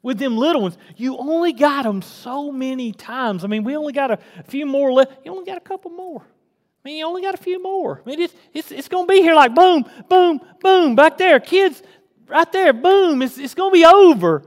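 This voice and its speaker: A man's voice: very high voice, lively intonation, normal speed, long reverb tail. A man, preaching a casual, folksy sermon to his congregation and rising to fervent emphasis. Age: 40s. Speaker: pastor. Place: church sanctuary.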